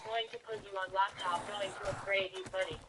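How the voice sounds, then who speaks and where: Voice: medium pitch, a little fast. Synthetic chat-reading voice over noise. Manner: flat synthetic reading of viewer messages. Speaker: text-to-speech reader of chat messages. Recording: noticeable background noise.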